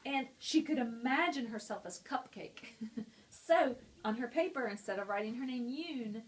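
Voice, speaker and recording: A woman's voice: a little high, lively intonation, moderate pace. A woman teacher at a school, explaining a picture-book lesson to students in a friendly, explanatory way.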